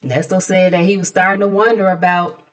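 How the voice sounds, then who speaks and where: Gossip channel host: American woman, slightly low-pitched, sassy and casual, dropping mocking asides into her recap. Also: steady tone, fairly quick.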